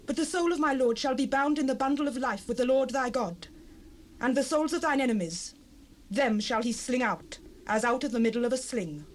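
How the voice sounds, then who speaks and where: High-voiced, pleading humble wife. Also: female voice, lively intonation, fairly quick. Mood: fervent entreaty. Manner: earnest, supplicating, archaic formal diction. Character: humble, pleading wife bowing before a warlord. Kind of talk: dramatized King James Bible audio drama. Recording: unprocessed cassette rip with noise.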